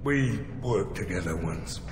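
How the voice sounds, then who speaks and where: An actor playing a grizzled, sardonic veteran bounty hunter in a video game, blunt and needling. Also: male voice, deep voice, animated, measured pace, creaky, noisy background, heavily acted. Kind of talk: video game character acting. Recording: echoey hall reverb, music behind.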